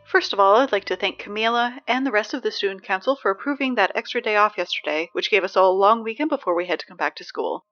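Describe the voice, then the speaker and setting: A woman playing an anxious, wry high school girl reading morning announcements in an audio drama, rambling with sardonic, hesitant asides. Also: fast, few pauses, highs cut off, soft background music, lightly acted. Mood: upbeat, weary.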